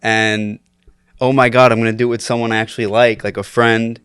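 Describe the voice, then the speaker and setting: A man's voice: lowish voice, flat delivery, fairly quick, a bit bright, smooth, no echo, clean studio sound. A young man in his twenties telling stories on a casual podcast, loose and laughing with lots of fillers. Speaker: podcast guest. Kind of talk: casual storytelling chat.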